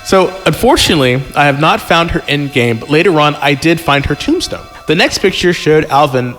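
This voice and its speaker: A man hosting a podcast, reading a story aloud haltingly with casual asides and chuckles. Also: a little high, lively intonation, fairly bright tone. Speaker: podcast host and reader. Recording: music playing behind the voice. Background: prominent background music.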